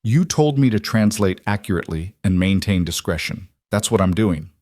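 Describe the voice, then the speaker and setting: A man's voice: deep voice, expressive, normal speed, a little mellow, no room sound, studio quality. Tense, defiant young woman interpreter with clipped, controlled lines, read by a male narrator.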